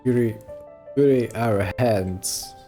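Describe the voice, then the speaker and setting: Casual gamer commentary over clear music. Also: mid-range voice, slow pace, dark tone. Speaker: let's play streamer. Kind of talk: casual gameplay commentary, reading out choices.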